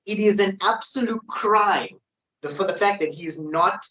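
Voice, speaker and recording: A man's voice: high-pitched, moderate pace, sharp tone. A man co-hosting a wrestling predictions podcast in casual, opinionated chat. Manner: opinionated, ranting. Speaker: podcast co-host. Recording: dull lo-fi sound.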